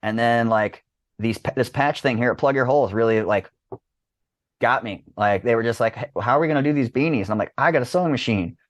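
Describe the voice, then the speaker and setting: Man, thirties, enthusiastic slangy podcast guest, mid-pitched voice, very dry recording, loose and sweary. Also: brisk, no echo. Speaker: podcast guest, disc golf business owner. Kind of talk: casual excited chat, heavy swearing.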